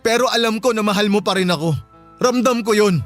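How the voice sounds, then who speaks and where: A man's voice: high-pitched, brisk, soft background music, close to an everyday voice. A man with a Filipino accent acting a remorseful, pleading young boyfriend in a radio drama, apologetic and whiny. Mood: desperate, pleading.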